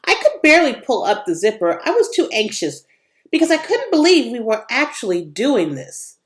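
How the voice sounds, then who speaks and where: A woman reading a children's story aloud as expressive narration, with varied intonation. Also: fairly high pitch, normal speed. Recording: echoey room.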